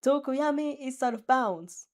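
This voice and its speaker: A woman voicing an adult female referee who announces the match loudly. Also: sits high, lively intonation, measured pace, voice pushed up. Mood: announcing.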